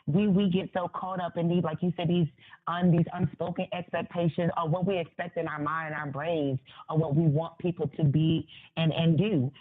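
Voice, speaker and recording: A woman's voice: low voice, husky. A woman interview guest giving her opinions conversationally, repeating phrases for emphasis. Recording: thin phone-like line.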